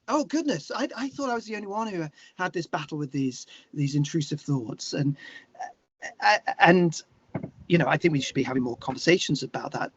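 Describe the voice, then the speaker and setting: A middle-aged man speaking earnestly and haltingly as an interview guest, reflecting in a conversational way with emphatic stresses. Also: fairly high pitch, husky, no room sound. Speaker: guest, singer-songwriter. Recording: slightly dull, lo-fi conversation audio.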